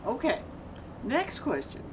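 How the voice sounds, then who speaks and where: A woman's voice: high voice, huge pitch swings, a little slow. A woman interviewer asking chatty follow-up questions in a casual conversation. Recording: distant from mic, noisy ambience.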